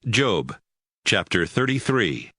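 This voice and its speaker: Lively, lower-pitched male narrator. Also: slow pace, no echo, studio quality. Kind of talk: scripted scripture narration.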